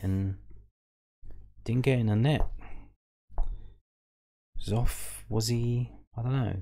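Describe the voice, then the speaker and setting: A man's voice: lowish voice, warm tone. A man hosting a fan show, casually talking through football match statistics on screen and thinking aloud as he reads them. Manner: musing, thinking aloud over a stats table. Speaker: fan podcast host. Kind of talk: casual stats commentary.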